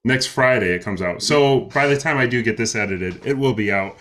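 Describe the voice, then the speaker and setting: A man's voice: mid-range voice, brisk, a bit bright. A man hosting an interview, asking questions casually with stammers and restarts. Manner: stammering, laughs easily. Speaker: interview host.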